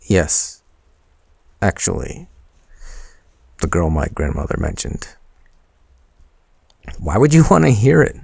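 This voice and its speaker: A man's voice: low voice, sing-song, gravelly, slightly rough, no room sound, studio quality, exaggerated voice. A man playing a shy young man confiding in his crush, hesitant and intimate, in a boyfriend roleplay audio. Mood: flustered, reluctant. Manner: hesitant, halting, soft-spoken confiding.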